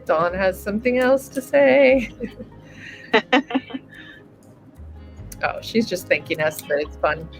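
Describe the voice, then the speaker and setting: A woman's voice: mid-range voice, expressive, takes it slowly, long pauses, faint music behind, rough recording. A woman hosting a webinar in casual chat over a video call, bubbly and laughing often. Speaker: webinar host.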